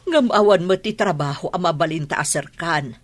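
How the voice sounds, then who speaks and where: An actress playing a troubled, plaintive mother with a husky voice in a radio drama. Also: fairly low pitch, expressive, moderate pace, lightly acted. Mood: worried. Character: troubled mother worried about money and hard work. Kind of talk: regional radio drama acting.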